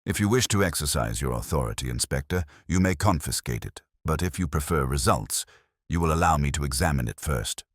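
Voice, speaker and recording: A man's voice: extremely low pitch, expressive, a little fast, no room sound, studio quality. A man voicing an imperious, ponderous genius detective with a formal, pompous manner in an audiobook mystery. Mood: imperious, cold.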